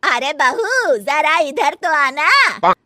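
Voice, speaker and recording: Woman playing a high-voiced, bossy Indian mother-in-law. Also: sing-song, very slow, pushed and bright, no echo, clean studio sound, full character voice. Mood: calling out. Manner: bossy, matter-of-fact, calling out orders. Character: commanding mother-in-law of a busy household. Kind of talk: acted Hindi family comedy story.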